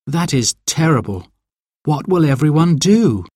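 Man voicing a worried storybook mum, pitched up. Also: lively intonation, a little slow, a little mellow, husky, no echo, clean studio sound. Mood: dismayed. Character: worried mother in a children's story.

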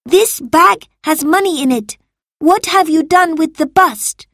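A woman's voice: very high-pitched, a little slow, fairly bright tone, no room sound, clean studio sound, voice pushed up, exaggerated voice. A woman voicing a bossy, angry young boy master in a children's story, stern, commanding and emphatic.

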